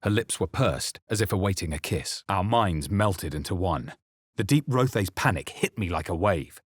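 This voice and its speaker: A brooding fantasy game hero with a very low, husky voice. He recounts dark visions in measured, ominous narration, recorded close and dry. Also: animated, no room sound. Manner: measured, solemn, ominous storytelling. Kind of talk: dramatic first-person scripted game narration.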